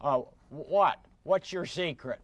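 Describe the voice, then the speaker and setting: A man's voice: fairly high pitch, slow pace, dry recording. A man playing a friendly, inquisitive dog on a children's television show, with exaggerated questioning intonation. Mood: curious.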